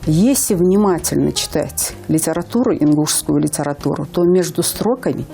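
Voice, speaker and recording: A woman's voice: sits low, normal speed, creaky, dark tone, faint music behind. A woman in her fifties from Ingushetia, a language teacher speaking earnestly as a guest on a TV morning show, with hesitant fillers. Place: TV studio.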